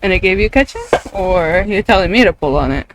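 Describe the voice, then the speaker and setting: Young female streamer, lively pitch, casual gaming chat, offhand, sweary. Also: fairly quick, background noise. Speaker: livestreamer.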